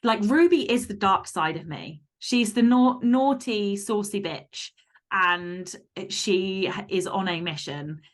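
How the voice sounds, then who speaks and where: A woman's voice: medium pitch, slow pace. A woman speaking as an author guest in a casual interview, candid and emphatic, with some casual swearing.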